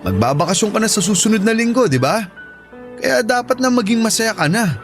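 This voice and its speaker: A man's voice: sits high, expressive, a little fast, faint music behind. A man playing a caring, easygoing Filipino shipmate and buddy in an audio drama, encouraging in manner. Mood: concerned.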